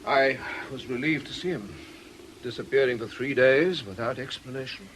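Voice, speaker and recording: An actor as an earnest, expressively intoned vicar. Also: male voice, mid-range voice, expressive, normal speed, noisy background, natural voice. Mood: troubled. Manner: articulate, courteous, pleading. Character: earnest, defensive male vicar with a troubled past. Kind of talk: British radio drama acting.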